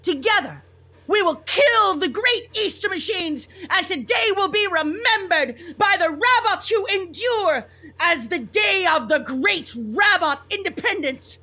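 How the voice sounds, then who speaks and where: A woman's voice: extremely high pitch, lively intonation, unhurried, pushed and bright, heavily acted. An actress playing a ruthless female robot rabbit revolutionary in a comic radio play, theatrical, commanding and sneering. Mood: cold, commanding.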